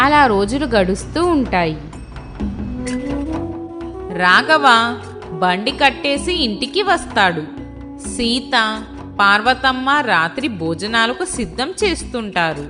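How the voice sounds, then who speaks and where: A woman's voice: a little high, lively intonation, slow pace, pauses often, over music. A woman reading scripted narration for a moral story.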